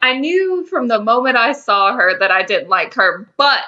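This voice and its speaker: A woman's voice: a little high, lively intonation, moderate pace, sharp tone, lo-fi sound. A woman co-hosting a podcast, discussing a TV show in an informal, emphatic way with some swearing. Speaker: podcast co-host.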